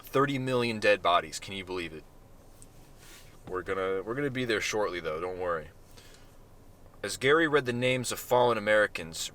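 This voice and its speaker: A man reading his own short story aloud in a deadpan manner, with self-mocking asides. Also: mid-range voice.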